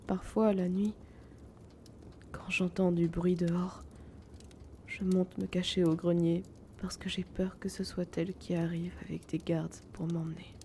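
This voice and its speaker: A woman playing a gentle, timid, wounded young male potion seller in an intimate fantasy audio drama, speaking softly, hesitantly and often breathily. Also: fairly low pitch, flat delivery, unhurried, slight background noise. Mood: sad, fearful.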